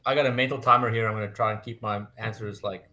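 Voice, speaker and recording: Low-voiced South African man on a call. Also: fairly even intonation, quick pace, crowd noise. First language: Afrikaans. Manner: friendly, self-deprecating joker. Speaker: guest. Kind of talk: casual chat. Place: home, remote video call. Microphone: video call.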